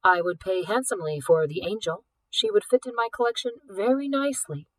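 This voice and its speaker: A woman's voice: medium pitch, natural voice, poor audio. Woman reading as a suave, formal male art collector. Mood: smooth, courteous. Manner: formal, measured, indulgent. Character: suave older male wealthy art collector, smugly courteous.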